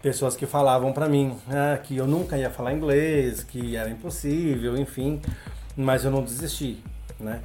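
A man's voice: medium pitch, moderate pace, soft background music. Brazilian man telling stories at an easy pace. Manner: relaxed storytelling, many filler tags. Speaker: guest, English teacher. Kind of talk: casual podcast interview.

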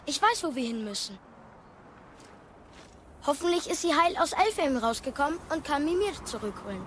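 Casting: eager young warrior's son, high voice, inquisitive and earnest, audio drama over clear background ambience. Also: female voice, very animated, moderate pace, fairly bright tone, slight background noise. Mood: curious, earnest. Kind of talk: German audio drama acting.

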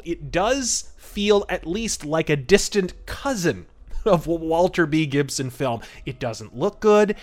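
Man, slightly high-pitched voice, podcast host, conversational film commentary, opinionated, wryly amused. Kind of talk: film review and history commentary.

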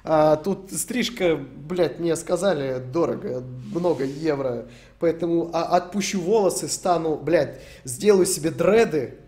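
A young man from Ukraine talks to his stream in a loose, joking way. His voice runs a little high, and he swears freely as he rambles through the questions. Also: measured pace, dark tone, breathy edge. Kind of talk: casual stream Q&A.

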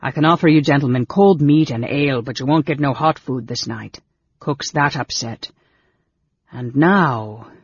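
A man voicing a gruff, weary innkeeper in a fantasy audiobook, with blunt, folksy rustic speech. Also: mid-range voice, steady tone, normal speed, dry recording, highs cut off, close to an everyday voice. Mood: brisk.

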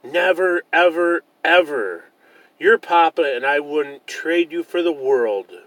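A man voicing a loving, gentle mother bear who reassures her cub in a children's storybook. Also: a little high, expressive, slow, no echo, pitched above the performer's own voice, heavily acted, poor audio. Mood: reassuring.

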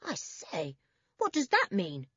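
Woman doing a chummy, animated schoolboy. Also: mid-range voice, slow pace, breathy edge, no echo, lo-fi sound, heavily acted. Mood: dismayed. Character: chummy, eager schoolboy adventurer.

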